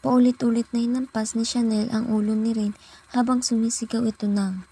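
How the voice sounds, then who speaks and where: A woman's voice: a little high, flat delivery, fairly quick, mellow, breathy edge. A young woman giving scripted narration as the storyteller of a student radio drama. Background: background music.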